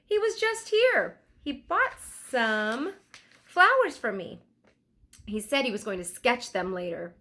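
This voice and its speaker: A woman's voice: a little high, very animated, takes it slowly, bright, forceful tone, voice pushed up, full character voice. A woman reading a children's storybook, voicing a cheerful, eager flower-selling girl with gushing emphasis. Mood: bright, excited. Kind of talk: children's storybook read aloud.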